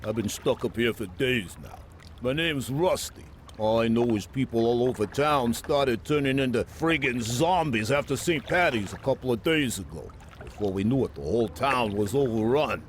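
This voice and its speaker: Man as a streetwise, world-weary shopkeeper, mid-pitched. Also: expressive, moderate pace, slight background noise, natural voice. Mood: weary, wry. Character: streetwise, world-weary pawn shop owner, gruffly friendly. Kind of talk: video game cutscene dialogue.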